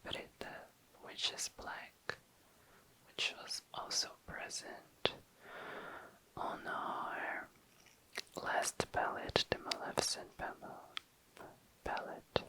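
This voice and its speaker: An ASMR creator speaking in a breathy, unvoiced whisper, lingering softly over words as in a relaxed show-and-tell, close to the microphone. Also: extremely slow, pauses often, low-quality recording.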